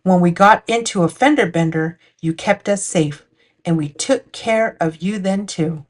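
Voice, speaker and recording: A woman's voice: fairly low pitch, measured pace. A woman narrating a picture book for children as a storytime host. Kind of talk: storytime read-aloud narration.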